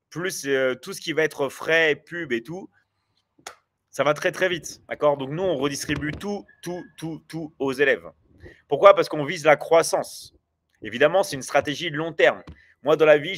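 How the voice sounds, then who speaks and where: A man's voice: a little high, a bit bright. Rambling man hosting a live chat. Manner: rambling, hesitant with many fillers and restarts. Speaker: livestream host. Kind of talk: casual livestream chat answering viewers.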